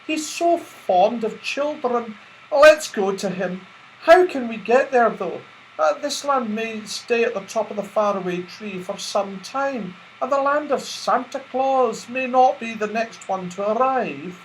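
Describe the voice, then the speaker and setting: Guy doing a sweet little fairy doll, gentle n thoughtful, slow high storybook voice. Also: lively intonation, a little hiss or hum, rough recording. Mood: hopeful, thoughtful. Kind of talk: children's storybook character voice.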